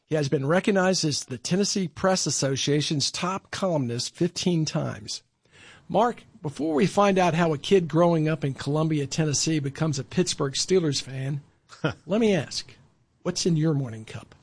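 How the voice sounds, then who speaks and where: A man's voice: a little high, moderate pace, slightly rough. A man hosting a podcast, friendly and conversational as he introduces and interviews a guest. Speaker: podcast host.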